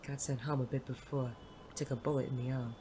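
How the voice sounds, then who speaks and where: A performer playing a world-weary, self-doubting male private eye and war veteran in an audio drama, gruff, hesitant and prone to swearing. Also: medium pitch, level tone, fairly quick, mellow. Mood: guarded. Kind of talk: intimate audio drama dialogue. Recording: clearly noisy background ambience.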